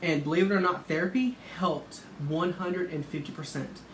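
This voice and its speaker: Vlogger, woman, low and soft-spoken, chatty and sassy; echoey room, noisy. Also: normal speed. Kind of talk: casual chat. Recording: echoey room with background noise.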